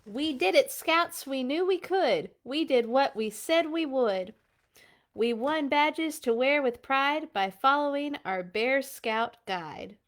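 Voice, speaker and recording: A woman's voice: high-pitched, lively intonation, slow. A woman voicing a blustery, boastful papa bear scout guide in a storybook, exclaiming with overconfidence. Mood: proud. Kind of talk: animated storybook read-aloud.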